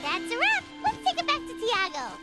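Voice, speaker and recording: A woman voicing a small, chatty flying companion in a video game, casual and blunt in an animated, childlike way. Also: extremely high pitch, brisk, music in the background, full character voice. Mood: musing, blunt.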